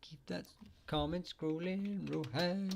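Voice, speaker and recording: A man hosting a live chat, talking haltingly with many fillers and restarts, his voice getting hoarse as he keeps clearing his throat. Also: on the high side, steady tone, darkish tone. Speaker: livestream host answering surname questions. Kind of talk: casual live-chat talk.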